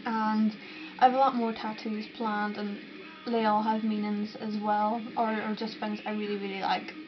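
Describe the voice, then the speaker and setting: A woman's voice: mid-range voice, level tone, moderate pace, music in the background. A young woman from Northern Ireland with a Northern Irish accent, chatting casually to camera and answering viewer questions. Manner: chatty, rambling, with fillers and restarts. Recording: dull lo-fi sound with noticeable background noise.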